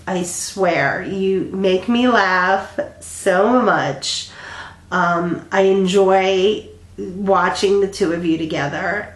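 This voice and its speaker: Congested woman in her thirties, casual rambling vlog chat, lowish voice, echoey room. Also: a bit bright. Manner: rambling, many ums and restarts. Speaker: flosstube vlogger.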